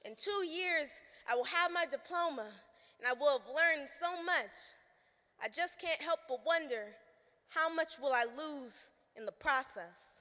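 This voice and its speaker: A teenage young woman performing spoken word poetry with emphatic, deliberate delivery. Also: sits high, sharp tone, a little hiss or hum. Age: teens. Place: live stage performance in a hall. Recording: reverberant hall.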